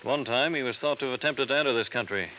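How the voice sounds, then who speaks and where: An actor playing a businesslike federal agent on old radio. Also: male voice, mid-range voice, fast, close to an everyday voice. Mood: briefing. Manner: businesslike briefing. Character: matter-of-fact male federal agent. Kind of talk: 1950s radio drama acting. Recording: dull lo-fi radio broadcast with hiss.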